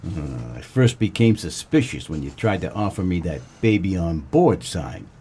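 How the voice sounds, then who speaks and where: A man acts out a hard-boiled 1940s gumshoe from a comic radio play. His low voice swoops expressively under a deadpan, wisecracking tough-guy manner, with a husky grain to the slangy lines. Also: moderate pace, heavily acted. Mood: smug, accusing. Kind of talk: comedic noir radio play, first-person narration and dialogue.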